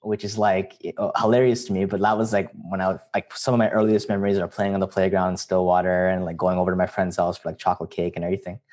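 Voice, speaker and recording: A young man from Canada, telling stories as a podcast guest in a relaxed, chatty way. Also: low voice, fast, hardly any pauses. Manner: relaxed, chatty storyteller, many likes and you-knows. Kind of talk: casual interview chat.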